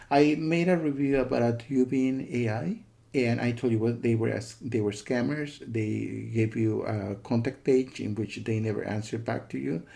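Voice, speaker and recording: A man's voice: mid-range voice, gravelly, mellow. A man giving a casual, disapproving spoken review, repeating himself and often checking in with "okay" and "you know.". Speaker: software reviewer.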